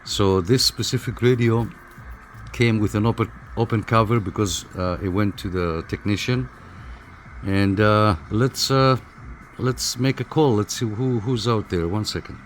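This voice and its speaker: A man giving a casual hands-on equipment review in a halting, informal, explanatory way. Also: lowish voice, fairly even intonation, slight background noise. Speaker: radio hobbyist reviewer. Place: small apartment room.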